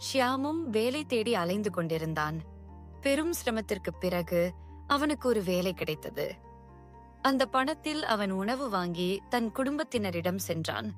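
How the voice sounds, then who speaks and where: A woman narrates a children's cartoon story in an even, measured storytelling voice. Her pitch sits mid-range, and soft music plays behind her.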